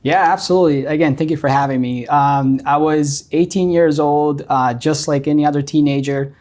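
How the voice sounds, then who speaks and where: A man's voice: a little high, fairly even intonation, moderate pace, a little mellow. A middle-aged man from Brazil with a Brazilian Portuguese accent, a guest in an interview, telling his story earnestly with many ums. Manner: frequent ums and uhs, earnest.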